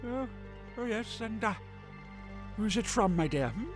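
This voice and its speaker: An actor playing a flustered young Time Lord with an old man's manner, halting and fussy in an audio drama. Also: high-pitched, animated, over music. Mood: flustered, courteous. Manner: hesitant, halting, fussy, adding "hmm?" and "my dear".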